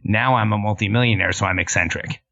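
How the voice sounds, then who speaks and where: Low-voiced man on a muffled line. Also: fast, bright, forceful tone. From Mexico and Southern California. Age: 40s. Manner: earnest, with frequent restarts. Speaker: podcast guest. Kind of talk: casual interview storytelling.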